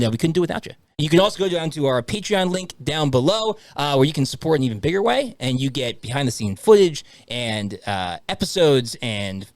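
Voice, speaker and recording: A man's voice: medium pitch, dry recording. A man hosting a podcast in casual banter, with an upbeat show-intro delivery. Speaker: podcast host.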